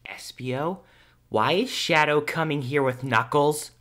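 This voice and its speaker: A man's voice: on the high side, a little slow, fairly bright tone. A man voicing an anxious, persistent young hedgehog hero in a fan audio drama, earnest and pleading. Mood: uneasy.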